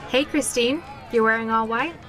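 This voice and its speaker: Woman playing confident witch girl, kinda high voice, reassuring, bit of music under it, audio drama. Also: moderate pace, natural voice.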